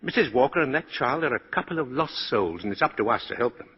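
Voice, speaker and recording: An actor playing a kindly, whimsical, principled old man in a 1940s radio drama, speaking with deliberate old-fashioned politeness. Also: medium pitch, animated, in a large hall. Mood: kindly, genial. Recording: muffled, husky-sounding 1940s radio broadcast.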